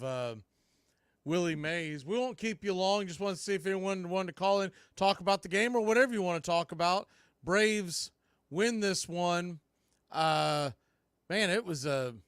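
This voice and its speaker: A male baseball broadcaster with a notably high voice for a man. He works as play-by-play announcer and fan show host, talking through the game in radio style. The sound is dry, with very little room in it. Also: a bit bright, smooth, dry recording.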